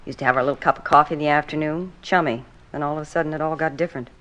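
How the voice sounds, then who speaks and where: Brusque, fast-talking apartment manager. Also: female voice, low voice, fairly even intonation, a bit bright, slight background noise. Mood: confiding. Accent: American. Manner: blunt, gossipy, folksy clipped grammar. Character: brusque, defensive, talkative apartment manager woman with children. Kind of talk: 1950s radio drama acting. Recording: vintage 1950s radio recording.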